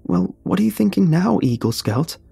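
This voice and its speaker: Horror story role: scared, despairing man, performed by a male narrator, pleading and emotional, voice pushed higher, husky edge. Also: lively intonation, normal speed, mellow, no room sound, faint music behind. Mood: scared, indignant. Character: Sam, frightened, despairing, insecure male friend. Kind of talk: dramatic horror story dialogue.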